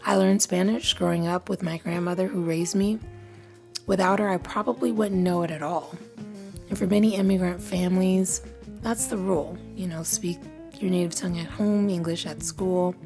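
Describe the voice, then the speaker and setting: A woman travel vlogger talking casually and narrating her trip, chatty and quick to laugh. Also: on the low side, fairly even intonation, vocal fry, dark tone, soft background music. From Cuban family, grew up in the US. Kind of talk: casual vlog chat and voice-over narration.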